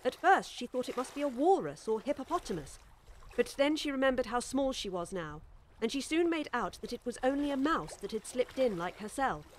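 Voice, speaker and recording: A woman tells a story as an audiobook narrator. Her voice sits fairly high, and the delivery is scripted storytelling. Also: very animated, a little fast, slight background noise.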